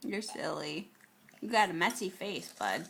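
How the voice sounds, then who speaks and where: A woman's voice: on the low side, animated, unhurried, creaky, background noise. A young woman at home, teasing her small child with playful questions in a home video. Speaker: mother filming her toddler.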